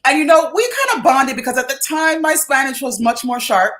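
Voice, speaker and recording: A middle-aged woman hosting a casual interview, chatty and quick to laugh. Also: high-pitched, fairly bright tone. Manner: laughing, chatty, reminiscing with fillers. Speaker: host.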